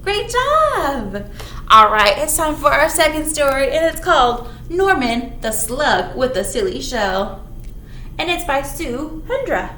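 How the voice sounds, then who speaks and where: High-pitched, animated children's storytime woman. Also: slow pace. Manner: playful, exaggerated, talks to small children. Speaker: children's librarian leading storytime. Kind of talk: animated storytime read-aloud with asides to young children. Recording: roomy echo with background noise.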